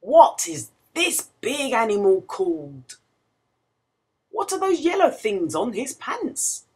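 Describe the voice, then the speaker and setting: A woman reads a children's story in a high, slightly husky voice. Her delivery is slow and hugely expressive, swooping up and down as she engages young listeners. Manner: expressive, exclamatory, asks the listener questions. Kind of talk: animated read-aloud storytelling for young children.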